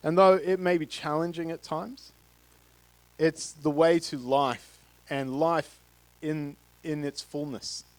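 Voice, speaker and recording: Halting Australian man preaching. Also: on the high side, fairly even intonation, no echo, low-quality recording. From Queensland, Australia. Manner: halting, many fillers and restarts. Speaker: guest speaker from a charity. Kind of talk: church talk to a congregation. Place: church stage with a live congregation. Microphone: handheld mic.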